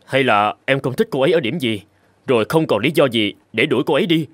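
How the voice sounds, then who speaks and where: A man's voice: mid-range voice, expressive, quick pace, no echo, close to an everyday voice. A man playing a disbelieving, reproachful young husband in a scripted cartoon, questioning indignantly.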